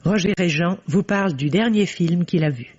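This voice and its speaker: A man formally reads scripted programme announcements and credits as a radio announcer, with deliberate delivery, on a vintage recording. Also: sits high, a little mellow, husky, highs cut off. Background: music.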